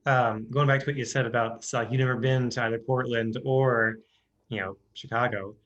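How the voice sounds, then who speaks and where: A man's voice: mid-range voice, steady tone, brisk, a bit bright, highs cut off. A man with a Midwestern American accent, an audiobook narrator chatting in an interview, hesitant with many restarts and fillers. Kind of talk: casual interview chat.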